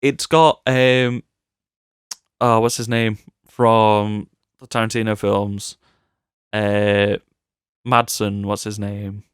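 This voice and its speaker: Man, deep voice, emphatic chat. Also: level tone, takes it slowly, clean tone, dry recording, clean studio sound. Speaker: podcast co-host. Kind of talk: casual film chat.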